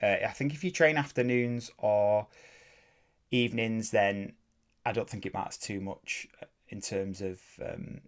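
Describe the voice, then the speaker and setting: A young man with a fairly low voice hosts his fitness podcast on his own. His delivery is plain and even, loose and chatty, with frequent self-corrections and the odd mild rant. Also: measured pace. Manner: rambling, self-correcting, drops into mild ranting. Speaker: fitness coach and podcast host. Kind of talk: casual solo podcast talk.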